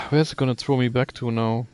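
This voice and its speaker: A man's voice: medium pitch, steady tone, moderate pace, darkish tone, a little hiss or hum. A man giving casual live video game commentary, muttering to himself as he plays.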